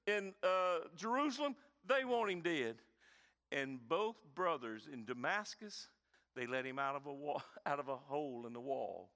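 A man, preaching a sermon with dynamic emphasis and quiet, intense stretches. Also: fairly high pitch. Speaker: pastor.

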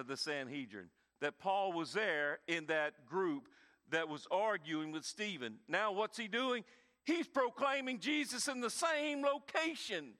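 Bright-voiced preacher. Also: male voice, on the high side. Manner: dynamic preaching, swings between loud emphasis and quiet intensity. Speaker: pastor. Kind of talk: sermon.

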